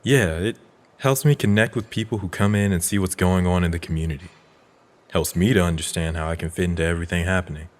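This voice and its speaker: Man acting calm young man, deep voice, thoughtful, sincere, audio drama, long reverb. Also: lightly acted. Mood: measured. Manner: measured, analytical, sincere.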